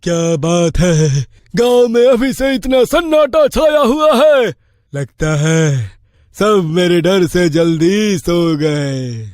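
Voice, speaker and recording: A man playing a menacing, swaggering bandit chief in a cartoon horror story, with a taunting, drawn-out, domineering delivery. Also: sits high, expressive, darkish tone, no room sound, clean studio sound.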